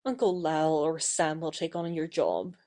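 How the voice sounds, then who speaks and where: A woman playing a playful, wilful young actress in an audio play, brisk and coquettish with her suitor. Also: sits low, animated, mellow. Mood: wilful, teasing.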